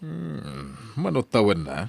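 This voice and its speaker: A man's voice: mid-range voice, sing-song, takes it slowly, vocal fry, darkish tone, slightly rough, exaggerated voice. A man playing a well-off, calculating employer in a drama, speaking with authority. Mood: doubtful, cautious. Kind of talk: Ilocano radio-style drama acting.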